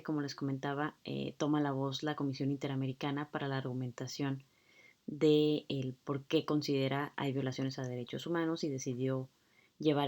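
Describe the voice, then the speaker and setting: Woman lecturer, deep for a woman, conversational explainer with fillers. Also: darkish tone. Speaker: law lecturer. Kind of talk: explaining a court hearing video.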